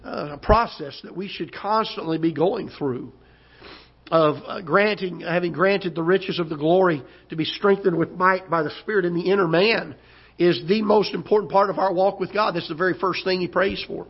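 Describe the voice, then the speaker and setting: A pastor with a hoarse, recovering voice preaching a sermon in a church auditorium, halting and repeating words, then rising as he preaches. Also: high-pitched. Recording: dull lo-fi church broadcast.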